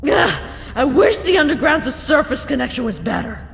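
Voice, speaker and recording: High, gravelly, brash monster warrior woman acting. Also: very animated, normal speed, big-room sound, slight background noise, heavily acted. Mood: worried. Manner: forceful, blunt. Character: brash, passionate, impatient female fish-creature warrior. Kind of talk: fan comic dub voice acting.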